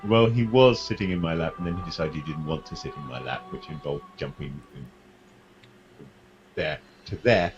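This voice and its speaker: A man's voice: extremely low pitch, moderate pace, lo-fi sound, faint music behind. A man playing a tabletop game, chatting in a wry, self-deprecating way. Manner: wry, self-deprecating, laughs at his own asides. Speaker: player. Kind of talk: casual chat between tabletop turns.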